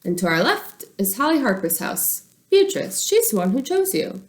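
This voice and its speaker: A woman's voice: high-pitched, big pitch swings, moderate pace, pitched above the performer's own voice. A woman reading the part of an earnest, imaginative schoolboy in a children's story, caring and easily exasperated, with a dramatic manner. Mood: excited.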